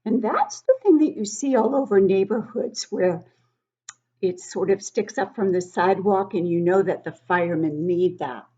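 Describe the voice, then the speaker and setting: A woman reading a picture book aloud to young children in an expressive, explanatory way. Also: medium pitch, husky, muffled recording. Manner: animated, explanatory, child-directed.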